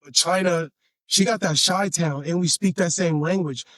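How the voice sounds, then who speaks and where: A man from Chicago with a raspy voice, a podcast guest telling stories in a laid-back, playful way and often repeating his phrases. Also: a little high, moderate pace, a bit bright, dry recording. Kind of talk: casual storytelling chat.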